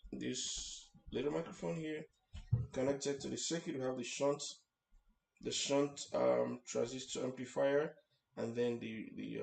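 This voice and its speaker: A man's voice: mid-range voice, creaky. A man hosting an informal hands-on electronics tutorial, explaining things step by step and checking in as he goes. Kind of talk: informal hands-on demonstration narration. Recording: roomy echo, faint background noise.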